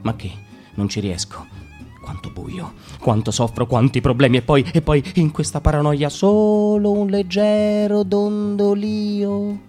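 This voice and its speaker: A man reading a literary text as radio theatre, dramatic and shifting between calm and agitated. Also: sits high, a little slow, faint music behind.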